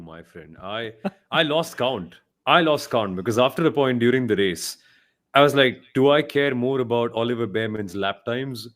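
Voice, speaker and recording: A man's voice: medium pitch, fairly bright tone. A man giving blunt, emphatic opinions as a panelist on a motorsport podcast, often repeating himself for emphasis.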